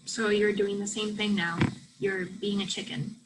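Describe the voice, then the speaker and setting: An actress playing a guarded, self-doubting international college student and soccer striker in a virtual play reading. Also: female voice, mid-range voice, fairly even intonation, normal speed, over music, close to an everyday voice, poor audio. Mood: defiant. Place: remote virtual reading over video call.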